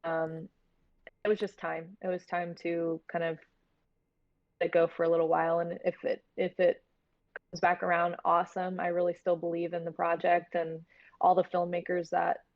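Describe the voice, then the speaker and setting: A woman's voice: fairly low pitch, monotone, moderate pace, no room sound, highs cut off. A woman chatting casually and candidly as an interview guest, talking like a filmmaker about her work.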